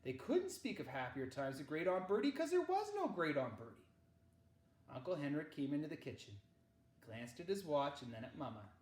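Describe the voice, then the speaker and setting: A man reading a children's novel aloud as narrator, with measured, dramatic phrasing. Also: fairly high pitch, sing-song, darkish tone. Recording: echoey, roomy sound.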